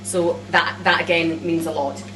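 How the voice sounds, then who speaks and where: A woman speaking as an author at a live launch, giving thanks to an audience in a halting way with frequent pauses. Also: sits low, fairly even intonation, noisy background. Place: cafe event room, indoors. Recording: echoey room recorded from far off.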